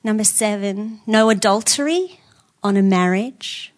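A woman's voice: mid-range voice, unhurried. A woman preaching a conversational sermon in a church, earnest, with self-deprecating asides. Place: church auditorium with screens.

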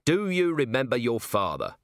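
A man's voice: mid-range voice, huge pitch swings, moderate pace, no room sound. A man doing a weary, stern watch commander. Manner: dry, tired, clipped. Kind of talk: audiobook character voice.

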